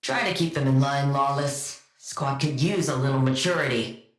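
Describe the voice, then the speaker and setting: Low-voiced haughty supervillain scientist. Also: female voice, moderate pace, reverberant. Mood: sardonic. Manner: deadpan, sardonic, precise scientific wording. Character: brilliant, haughty female scientist supervillain, dry and impatient. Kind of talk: video game voice acting.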